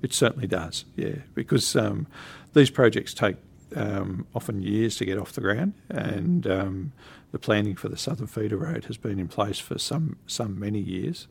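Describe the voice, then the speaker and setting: A man, a council director, answering interview questions in a measured way with frequent ums. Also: on the low side, lively intonation, creaky, slightly rough. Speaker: council technical services director.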